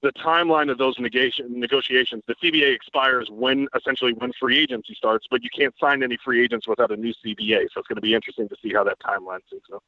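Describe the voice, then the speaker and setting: Brief: guest analyst, man, rapid, detailed explainer, lo-fi remote line. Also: medium pitch, fairly even intonation, no echo. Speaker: guest football writer. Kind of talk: casual podcast chat.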